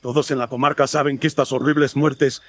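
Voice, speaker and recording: A man's voice: mid-range voice, flat delivery, fairly quick, no echo, voice pushed up, lightly acted. Man as plain-spoken shepherd, grave delivery, middle register, close dry sound, radio horror drama. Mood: grim, accusing. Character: grim rustic herdsman, plain-spoken witness.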